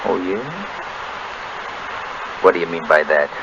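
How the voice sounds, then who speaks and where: An actor playing a tough, suspicious, jumpy crook with curt slangy talk in 1930s radio style. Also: male voice, low-pitched, little pitch movement, a little slow, vocal fry, highs cut off. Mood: wary, defiant. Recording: noisy 1930s radio broadcast recording.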